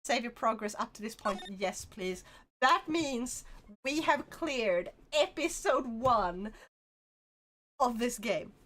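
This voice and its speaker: A woman's voice: on the high side, expressive, slow pace, a bit bright. A young woman streaming a game, chatting casually and bubbly with her viewers on a desk microphone, with game music behind her. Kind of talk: casual live-stream chat.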